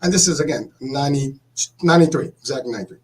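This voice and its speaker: Fast-talking man telling his story. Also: medium pitch. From Morocco. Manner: animated, repeats words, laughs often. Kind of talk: casual storytelling chat.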